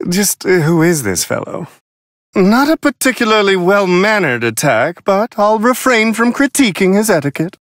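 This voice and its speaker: A man voicing a serene, refined angel attendant who gives polite, flowery, amused fight commentary in a video game, in a high, airy voice. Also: very animated, moderate pace, fairly bright tone, dry recording, studio quality, full character voice. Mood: politely amused. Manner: polite, flowery, genteel phrasing.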